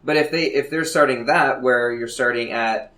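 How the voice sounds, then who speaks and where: A man's voice: medium pitch, moderate pace, bright, forceful tone. A man co-hosting a casual hobby podcast, talking in an enthusiastic, earnest way. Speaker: podcast co-host.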